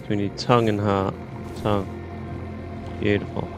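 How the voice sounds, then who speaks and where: A man's voice: on the low side, flat delivery, moderate pace, music in the background. A British man doing casual gameplay commentary, chatty and informal as he muses to himself. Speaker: gaming host.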